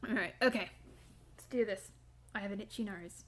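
A woman's voice: mid-range voice, moderate pace, very noisy. A woman, an amateur voice actor, chatting casually and a little hesitantly between takes.

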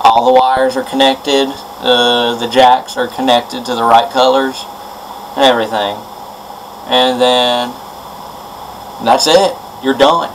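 Casting: male DIY presenter, mid-pitched, informal and halting, with faint noise on an amateur camera recording. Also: a bit bright. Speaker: presenter of a DIY electronics tutorial. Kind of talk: informal hands-on tutorial. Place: indoors at a wooden workbench. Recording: noisy amateur camera audio.

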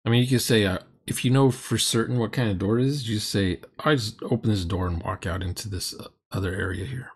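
A man's voice: lowish voice, quick pace, hardly any pauses, slightly rough. A middle-aged man giving critical, sarcastic commentary on a roleplaying game in a grumbling, mocking way. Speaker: commentator reacting to a recorded roleplaying session.

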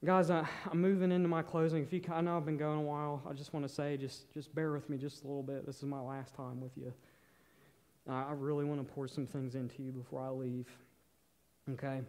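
A young man preaching a sermon on a church stage, earnest, shifting between loud emphasis and soft, near-whispered moments. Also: mid-range voice, level tone, fairly quick. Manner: paces the stage gesturing with both hands. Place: church stage in a large hall.

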